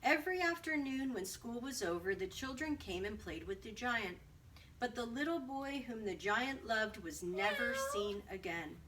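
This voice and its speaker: A woman narrating a children's story aloud in an expressive read-aloud style. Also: a little high, normal speed. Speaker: storybook reader. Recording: distant mic, faint background noise.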